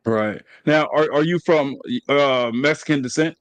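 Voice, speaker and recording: A man's voice: a little slow, dry recording. A middle-aged man from New Jersey with an American accent that carries a Southern tinge, hosting a casual interview and rambling with many restarts. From New Jersey, USA. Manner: rambling, restarts a lot, animated pitch jumps. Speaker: podcast host.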